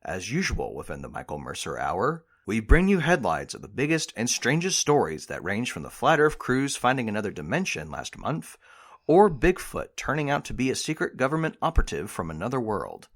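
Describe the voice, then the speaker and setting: A man's voice: medium pitch, wildly swooping pitch, fairly quick. A man playing a showy news show host in an audio drama, speaking like a presenter with dramatic emphasis. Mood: showy, polished. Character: male TV news reporter, showy but easily rattled. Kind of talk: audio drama acting as broadcast narration.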